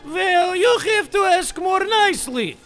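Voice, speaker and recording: A man doing a bright, stubborn clerk. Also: very high-pitched, a little slow, soft background music, heavily acted. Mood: deadpan. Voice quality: broken English, deadpan. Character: stubborn deadpan male Russian hotel clerk. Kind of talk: comedy audio sketch.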